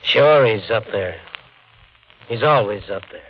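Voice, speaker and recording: Eddie, scheming hustler, muffled old radio. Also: male voice, on the low side, animated, a little slow, husky, heavily acted. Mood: bitter, needling. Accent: American. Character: Eddie, scheming American hustler narrating his own downfall. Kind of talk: 1950s radio drama acting with first-person narration. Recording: muffled 1950 radio broadcast, faint music.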